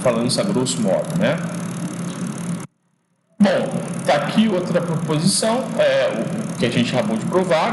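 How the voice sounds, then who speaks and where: A male teacher giving an informal logic lesson, often checking in with "né?" and restarting his sentences as he thinks aloud. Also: on the high side. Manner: explaining slowly, frequent checks like "né?" and "tá?"; restarts and repeats words while thinking aloud. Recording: echoey classroom from far off with street noise.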